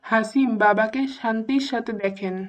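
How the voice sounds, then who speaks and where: A woman's voice: on the high side, normal speed. A woman reading scripted narration for a moral story. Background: music.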